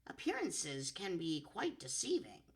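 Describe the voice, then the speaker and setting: A woman's voice: lowish voice, wildly swooping pitch, measured pace, pitched above the performer's own voice, full character voice. A woman voicing a young girl bear cub with a little-girlish, slightly pinched voice. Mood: earnest.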